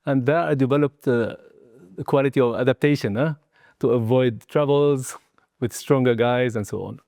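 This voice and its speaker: Older guy in his 60s from tunisia, not a native speaker, soft mellow voice, dry sound, kinda halting reflective interview talk. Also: mid-range voice, dry recording. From southern Tunisia. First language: not English. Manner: hesitant, broken phrasing in non-native English, frequent "you know" and "eh" tags. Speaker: guest, martial arts and Qigong teacher.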